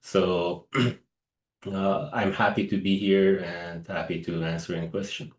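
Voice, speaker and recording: A very low-voiced man from Iran, Persian his first language, answers questions at a press briefing. He speaks earnestly and halts to restart his phrases. The sound carries a noticeable room echo. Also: steady tone, slow pace. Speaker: guest analyst.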